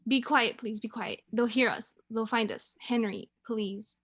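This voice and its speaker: A woman's voice: fairly high pitch, fairly even intonation, a little slow, a bit bright, slight background noise. A woman playing a frightened wife and mother in a radio drama, pleading and repeating herself, desperate to hide. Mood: terrified pleading.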